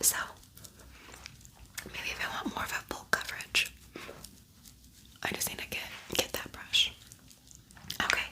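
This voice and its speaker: A woman hosting an ASMR makeup tutorial, whispering softly in a casual, chatty, unhurried way. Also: poor audio.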